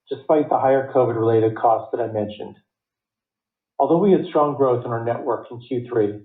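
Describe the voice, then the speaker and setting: A man reading a scripted earnings report as a company finance executive, in a formal, measured way. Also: medium pitch. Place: conference call line. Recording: tinny phone line, roomy echo.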